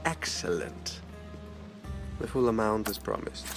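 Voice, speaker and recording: An actor playing a suave, theatrical schemer who taunts pompously in a video game. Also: male voice, lowish voice, a little slow, gravelly. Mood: smug, amused. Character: suave, theatrical, mocking villain, male schemer. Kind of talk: video game cutscene acting. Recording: background music under the voice.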